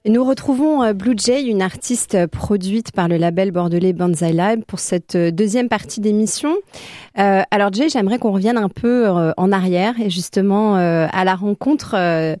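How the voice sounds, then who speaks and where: A woman's voice: mid-range voice, normal speed, dark tone, no room sound, studio quality. A woman hosting a conversational radio interview, feeling her way through questions with hesitant phrasing. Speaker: radio host interviewing a musical guest.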